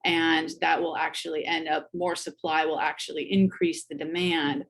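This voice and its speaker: A woman speaks as an academic panel guest. Her voice is low with marked vocal fry, and she lays out her analysis in a careful, measured way. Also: little pitch movement.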